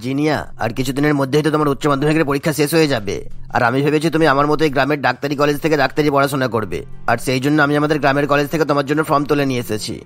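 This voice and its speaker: A man's voice: a little high, steady tone, rapid-fire, faint music behind, lightly acted. An actor playing a firm middle-aged father in a cartoon story, insistent and given to lecturing. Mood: matter-of-fact. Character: firm middle-aged father, village-born doctor, fond of home. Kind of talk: cartoon character acting.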